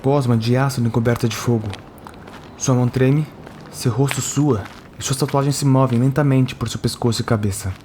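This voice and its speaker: A man's voice: mid-range voice, steady tone, a little fast, a little mellow, slight background noise. A man with a Brazilian accent delivering scripted fantasy narration as a tabletop game storyteller.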